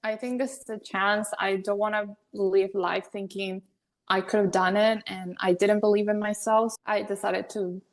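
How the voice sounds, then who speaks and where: A woman's voice: medium pitch, fairly even intonation, normal speed. A young woman from Venezuela chats as a podcast guest. She talks loosely and openly, laughing often and restarting her sentences. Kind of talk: casual interview conversation.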